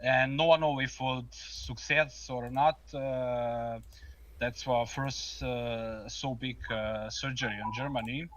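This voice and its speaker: Croatian-accented man speaking halting English. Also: medium pitch, a bit bright, muffled recording. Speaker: guest on a trading live stream. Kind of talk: informal personal storytelling.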